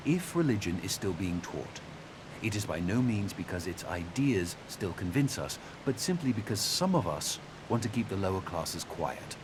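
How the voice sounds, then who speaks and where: A man acting as an earnest, measured debater in a game audio log, speaking deliberately and articulately. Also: natural voice. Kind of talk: naturalistic game audio log dialogue and reading. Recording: steady rumbling background noise.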